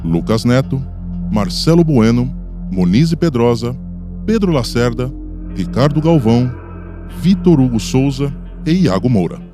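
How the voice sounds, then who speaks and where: A man's voice: mid-range voice, expressive, unhurried, pauses often. A man with a Brazilian accent reading scripted credits as a radio announcer. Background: music behind the voice.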